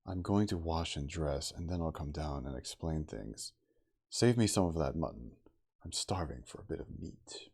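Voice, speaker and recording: A man reading as a weary, polite, hungry time traveler who speaks in a halting, faltering way. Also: extremely low pitch, expressive, a little mellow, husky, full character voice. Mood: weary, reassuring.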